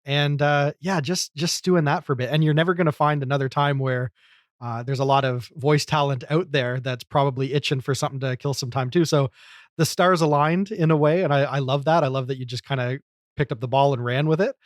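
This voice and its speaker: A man's voice: mid-range voice, steady tone, a little fast, no echo. Chatty man co-hosting a podcast, close dry sound. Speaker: podcast co-host. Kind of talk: casual podcast discussion.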